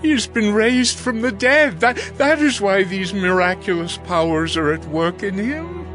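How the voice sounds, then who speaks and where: An actor playing an uneasy ancient ruler, dramatic and emphatic, in a dramatized audio Bible. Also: male voice, high voice, expressive, measured pace, a bit bright, faint music behind. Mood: alarmed. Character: uneasy male ancient ruler addressing his servants.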